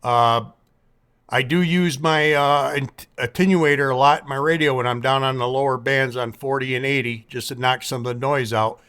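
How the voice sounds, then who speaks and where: A man talking casually as an amateur radio operator in a folksy, measured, plain-spoken manner. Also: medium pitch, steady tone, fairly bright tone, smooth. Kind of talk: casual ham radio contact.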